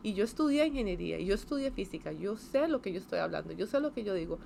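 A woman's voice: mid-range voice, expressive, fast talker, gravelly, a little mellow, slight background noise. A woman in her fifties with a Costa Rican accent, answering interview questions in a casual, hesitant way, with many fillers, restarts and tag questions. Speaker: engineer interviewee.

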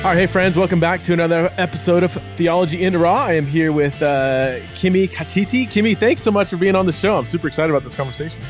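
A man's voice: a little high, quick pace, hardly any pauses, soft background music. A man hosting a podcast in a casual, informal and enthusiastic way, with stammering restarts. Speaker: podcast host. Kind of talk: casual interview chat.

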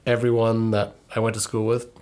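A man's voice: fairly low pitch, monotone, a little fast, a little mellow. A thirty-year-old man from northern Minnesota co-hosting a casual podcast, rambling a bit and correcting himself with frequent ums. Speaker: podcast co-host.

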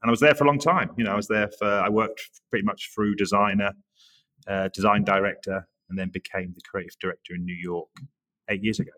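An Englishman in his forties from the Norwich area, chatting as an interview guest in a relaxed, rambling way with many restarts. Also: on the low side, fast talker. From England, Norwich area. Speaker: guest, creative director. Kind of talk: casual interview chat.

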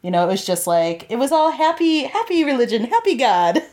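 A woman's voice: high voice, very animated, brisk, darkish tone. Young woman from chicagoland, casual podcast host, keeps giggling between bits. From Chicago area, Illinois. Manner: giggly, laughs often between phrases. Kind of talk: casual chat.

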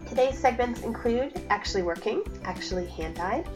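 A woman's voice: fairly high pitch, animated, normal speed, over music. A woman in her thirties hosting her own podcast from home, sniffly from allergies, chatting upbeat with plenty of fillers. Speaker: podcast host. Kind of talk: casual solo podcast chat. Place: home at night, cats nearby.

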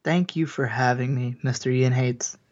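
A man's voice: mid-range voice, steady tone, normal speed, a little mellow. Laid-back joking man, lo-fi sound. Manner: laid-back, jokey, brief replies. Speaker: podcast co-host. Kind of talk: casual podcast chat. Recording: dull lo-fi recording.

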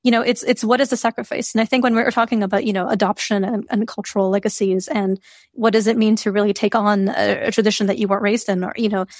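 A woman's voice: medium pitch, steady tone, quick pace, dry recording, clean studio sound. A woman from Serbia talking through ideas in a casual discussion, thoughtful, with many fillers and restarts.

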